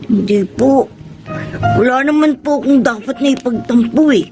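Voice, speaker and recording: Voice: high-pitched, moderate pace, over music, close to an everyday voice. A performer in a radio drama playing a meek, polite adopted boy who speaks hesitantly and wistfully. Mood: wistful, hurt. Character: gentle, polite adopted boy, hurt older brother. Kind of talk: Tagalog radio drama acting.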